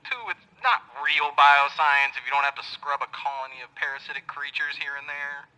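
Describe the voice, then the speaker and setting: A man's voice: fairly high pitch, level tone, fairly quick, sharp tone, smooth, poor audio. A man playing a brusque, sarcastic scientist giving bossy orders over a radio link in an audio drama. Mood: condescending. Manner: snappy, bossy, condescending. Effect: radio comms filter.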